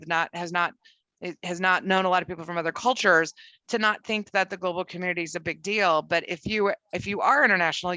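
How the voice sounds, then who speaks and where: A woman instructor speaks in a bright, clear voice over a video call. She is chatty, circling back and restarting her sentences, with a lot of "you know". The sound is dry, with no room echo. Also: fairly low pitch. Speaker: economics and finance instructor.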